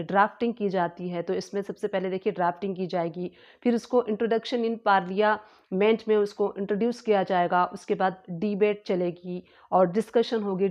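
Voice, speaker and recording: A woman's voice: mid-range voice, a little mellow. Woman explaining tax news, muffled recording. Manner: explanatory, repetitive, hesitant with frequent fillers. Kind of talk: informal explanatory lesson. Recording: slightly dull lo-fi home recording.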